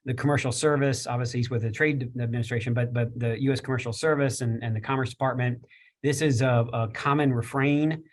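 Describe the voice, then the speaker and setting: Rambling middle-voiced male panelist. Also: medium pitch. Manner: rambling, many restarts and repeated words. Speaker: panelist from a business chamber. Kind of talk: casual panel discussion.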